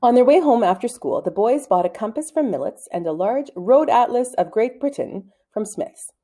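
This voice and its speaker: Female storybook narrator, mellow tone, lively and expressive pitch movement. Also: normal speed. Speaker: read-aloud narrator. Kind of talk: expressive storybook read-aloud.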